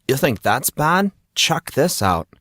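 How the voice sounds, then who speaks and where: A man's voice: medium pitch, very animated, takes it slowly, fairly bright tone, voice pushed up, heavily acted. A man voicing a confident, inquisitive teenage schoolboy with a slangy manner in an audiobook drama. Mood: excited. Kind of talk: audiobook character dialogue.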